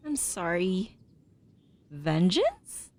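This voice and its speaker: A woman's voice: on the low side, wildly swooping pitch, drawn-out words, darkish tone, no echo. A woman gamer reacting casually during gameplay, interjecting and reading dialogue aloud.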